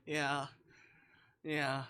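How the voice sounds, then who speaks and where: A man livestreaming a visual novel, switching between jokey chat and reading the text aloud in a playful, expressive way. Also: on the high side, extremely slow, smooth. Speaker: live streamer playing a visual novel.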